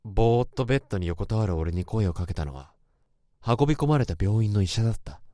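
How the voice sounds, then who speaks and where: A man's voice: fairly low pitch, lively intonation, fast, mellow, dry recording, lightly acted. A man playing a troubled office worker who narrates his own story in the first person, in a brooding and anxious way. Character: troubled young male office worker, introspective. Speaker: narrator and lead.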